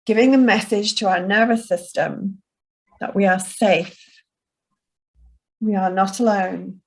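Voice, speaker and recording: Woman relaxation instructor, unhurried and soft-spoken, guiding a calm session. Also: medium pitch, breathy edge. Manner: soft, slow and soothing. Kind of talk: guided self-soothing session.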